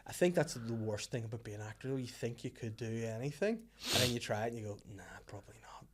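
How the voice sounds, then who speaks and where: A man's voice: medium pitch, animated, fast talker. A man with a Northern Irish accent hosting a casual comedy chat, rambling and bantering as he goes. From Northern Ireland. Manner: bantering, rambling, slips into acted quotes. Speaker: podcast host, comedian and actor.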